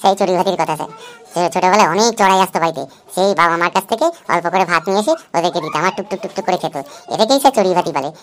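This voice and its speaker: A woman's voice: lowish voice, very fast, lightly acted. A woman voicing a cheeky young schoolboy in a comedy cartoon, glib and pleading with excuses. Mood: confidently silly. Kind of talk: Bengali comedy cartoon dub.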